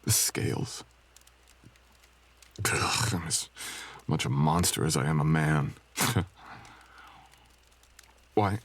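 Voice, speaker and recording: A man playing a brooding, gentle dragonkin stranger in an intimate roleplay audio, speaking softly and hesitantly in courtly phrasing. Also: slow, pauses often, exaggerated voice. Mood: self-loathing. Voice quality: low, gravelly, husky. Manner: soft, hesitant, tender, archaic courtly phrasing.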